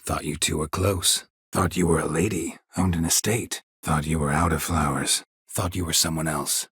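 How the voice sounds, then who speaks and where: An actor playing a gruff, stoic monster hunter in a video game, with terse, deadpan, dry-witted delivery and a gravelly voice. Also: male voice, normal speed, no room sound, exaggerated voice. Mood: dry, guarded. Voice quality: gravelly, husky low voice. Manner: terse, deadpan, clipped phrasing.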